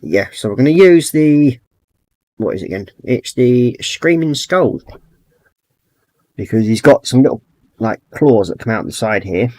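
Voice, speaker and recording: A middle-aged man with a croaky throat, chatting easygoing and rambling as he hosts a livestream, reading out messages from chat. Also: mid-range voice, lively intonation, measured pace, long pauses, mellow, no room sound. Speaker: livestream host. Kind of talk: casual livestream chat while painting models.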